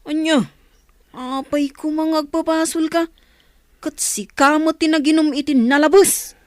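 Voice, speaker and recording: A woman's voice: sits high, steady tone, measured pace, darkish tone, dry recording, studio quality. A woman playing a level-headed male buddy in an audio drama, questioning and admonishing. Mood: taken aback. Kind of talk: Ilocano audio drama acting. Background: faint music.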